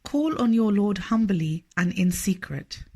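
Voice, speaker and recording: A woman reading a scripted scripture study aloud in a measured, formal manner. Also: mid-range voice, animated, darkish tone, breathy edge. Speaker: reader of a religious study text.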